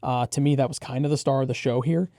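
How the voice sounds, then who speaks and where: A man co-hosting a product preview, explaining technical details in a measured, conversational way. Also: mid-range voice, steady tone, mellow, no room sound, clean studio sound.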